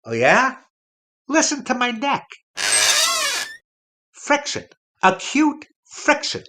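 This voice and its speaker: An aging ventriloquist's dummy full of grievances in a comic audio drama. The voice is bright and forceful, swinging up and down as he complains emphatically and circles back on his own phrases. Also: extremely high pitch, very animated, takes it slowly, full character voice. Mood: griping. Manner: emphatic, griping, repeats himself. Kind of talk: comic radio play acting.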